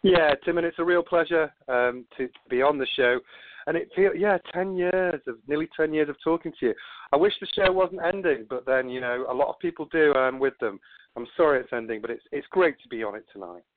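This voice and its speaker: A man's voice: a little high, brisk, a little hiss or hum. A man with a Manchester accent, a guest who rambles and repeats words as he talks. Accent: Manchester English. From England. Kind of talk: casual phone interview. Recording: dry tinny phone line.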